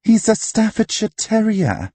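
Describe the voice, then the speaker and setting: A man voicing a flamboyant, opinionated pub regular in an audiobook, exclaiming with affected, drawn-out emphasis. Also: sits high, sing-song, warm tone, breathy edge, no room sound, pitched above the performer's own voice, full character voice. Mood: exasperated. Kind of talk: audiobook character acting.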